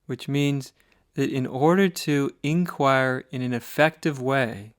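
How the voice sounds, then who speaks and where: An American man teaches meditation in a clear, smooth voice at a medium pitch. He speaks very slowly and deliberately, setting down short phrases with pauses between them. The recording is dry, with little room sound. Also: no room sound. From United States. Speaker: spiritual teacher leading guided meditation. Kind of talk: guided meditation instruction.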